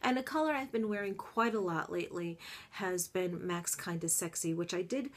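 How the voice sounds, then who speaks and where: A woman's voice: fairly low pitch, animated, normal speed. A middle-aged woman vlogger, congested, chatting casually through her favorite beauty products with lots of gushing and little ums. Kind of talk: casual favorites review to camera.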